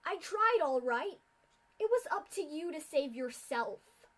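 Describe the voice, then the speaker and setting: A bitter adult pegasus mare lashes out in seething fury, as a woman reading a dark story acts her out. Her voice sits high and climbs into shouted hate. She is cold and unforgiving. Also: a little slow. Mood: bitter, furious. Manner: screaming in rage, then cold and flat.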